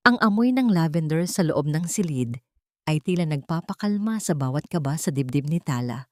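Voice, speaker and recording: A Filipino woman narrates a dramatic story from a script. Her voice sits on the low side, and the recording is dry and close, with little room sound. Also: animated, moderate pace, darkish tone, dry recording.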